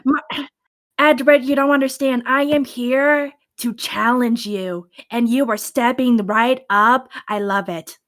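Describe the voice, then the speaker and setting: A woman's voice: on the high side, fairly even intonation, slow pace, a bit bright. A woman playing a tabletop game, speaking in casual banter.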